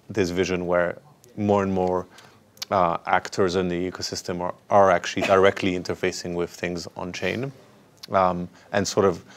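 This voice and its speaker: A man moderating a conference panel, speaking haltingly with many fillers and restarts. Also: low-pitched. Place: conference stage.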